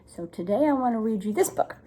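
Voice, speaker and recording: A woman's voice: fairly high pitch, animated, fairly quick, mellow, a little hiss or hum. An older woman from the United States reading a storybook aloud to young children, expressively, with chatty asides.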